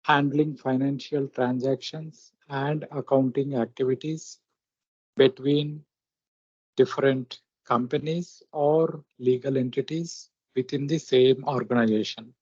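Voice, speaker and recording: Slow-talking man, dull lo-fi sound. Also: medium pitch, steady tone, darkish tone, dry recording. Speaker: software tutorial presenter. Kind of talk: step-by-step screen demonstration narration. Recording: dull, lo-fi close microphone recording.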